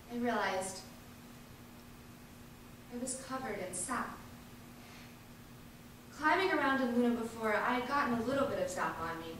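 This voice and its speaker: Woman doing an earnest dramatic monologue, highish voice, really echoey, mic far away. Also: fairly bright tone. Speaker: reader performing an activist's first-person testimony. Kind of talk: dramatic reading of a first-person monologue. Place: large indoor event space, distant microphone. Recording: echoey hall from far off, faint noise.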